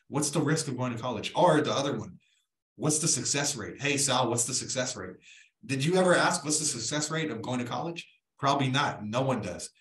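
A man's voice: medium pitch, fairly bright tone. A young man speaking as an interview guest, punchy and persuasive, asking rhetorical questions, on a studio mic over a video call. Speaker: guest entrepreneur. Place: room at home. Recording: roomy echo.

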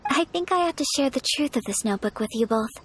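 A woman voicing a poised, gentle young noblewoman in a video game, soft-spoken and formal. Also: on the high side, animated, quick pace. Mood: earnest. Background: faint game music.

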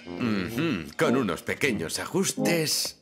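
An actor playing a gentle, apologetic, affectionate dad in a children's cartoon dub. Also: male voice, mid-range voice, big pitch swings, takes it slowly, over loud music. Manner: affectionate, mild. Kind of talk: dubbed children's cartoon acting.

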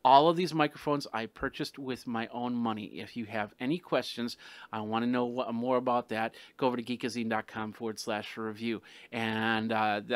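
A middle-aged man hosting a casual product review, explaining things in a halting way with restarted phrases, close on a desktop gooseneck microphone. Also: medium pitch, smooth, no echo. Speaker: tech reviewer, host. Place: small studio room with some sound treatment. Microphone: desktop gooseneck condenser microphone, close.

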